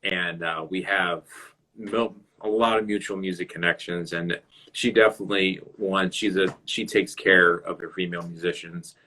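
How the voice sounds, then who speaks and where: A man's voice: low voice, steady tone, normal speed, sharp tone, slight background noise, poor audio. A man hosting a casual live video-call interview who rambles and restarts his sentences. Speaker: interview host.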